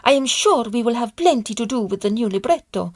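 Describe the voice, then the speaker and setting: A woman playing a brisk, excitable opera singer in a period audio drama, with expressive, mannered diction. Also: a little high, no echo, clean studio sound, lightly acted. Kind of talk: theatrical audio drama acting.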